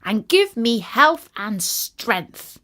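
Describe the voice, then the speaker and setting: A plucky little tailor from a storybook, played by a woman storyteller, cheerful and very pleased with himself. He speaks theatrically and slowly, with self-important, animated swings in pitch. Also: heavily acted.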